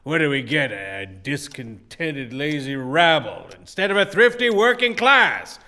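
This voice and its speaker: An actor playing a mean, pompous old banker in a radio play, scornful and forceful. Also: male voice, on the high side, big pitch swings, a little slow, full character voice. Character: mean, haughty old male banker and businessman. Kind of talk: theatrical radio play acting.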